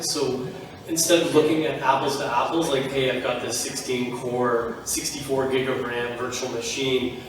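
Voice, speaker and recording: A man on a conference panel, explaining in a casual, joking manner. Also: mid-range voice. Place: large conference hall. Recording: echoey hall, mic far off.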